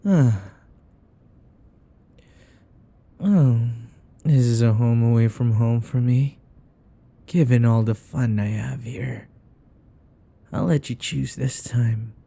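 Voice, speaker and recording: A man playing a possessive, teasing vampire in an ASMR roleplay, with a breathy voice that often drops to a whisper and a coaxing, taunting manner. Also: mid-range voice, slow pace, mellow. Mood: eager, gloating. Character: possessive, teasing male vampire who hypnotizes his captive.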